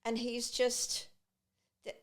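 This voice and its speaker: A woman's voice: on the high side, monotone, slow pace. A woman guest on a podcast, talking casually with many restarts and fillers. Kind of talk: casual conversation.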